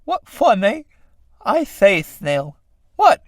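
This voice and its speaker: A man's voice: high voice, huge pitch swings, takes it slowly, dry recording, clean studio sound, pitched above the performer's own voice, exaggerated voice. A man voicing nosy, callous little snails in a stage-play animal fable, chatty and gawking. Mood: gawking, amused.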